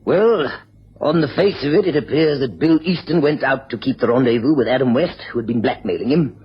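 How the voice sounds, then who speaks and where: An actor playing a practical, affable former police detective in a radio drama, with an English accent, a husky voice and a matter-of-fact manner. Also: male voice, mid-range voice, fairly quick. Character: former Scotland Yard man, practical, affable investigator. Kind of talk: 1950s-60s radio drama acting. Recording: dull old radio recording.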